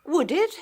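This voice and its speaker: An actress playing a timid, genteel older spinster sister who speaks hesitantly in a radio drama. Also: female voice, high-pitched, mellow, heavily acted. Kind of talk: 1980s radio drama acting.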